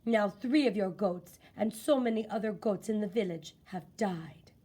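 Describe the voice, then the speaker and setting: A woman voicing a grave male village butcher in a low, hushed voice for a storybook. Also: normal speed, natural voice.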